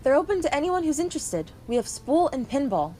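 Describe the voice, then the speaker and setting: Lodge keeper played by a woman: hospitable yet bossy puppet-show figure, theatrical, higher register, very expressive. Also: a little fast, soft background music. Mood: welcoming. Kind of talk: amateur puppet show acting.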